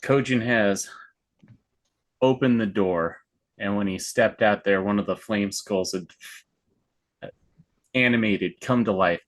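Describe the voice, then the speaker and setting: A man's voice: lowish voice, takes it slowly, pauses often. A man acting as game master, narrating and managing turns in an online tabletop game.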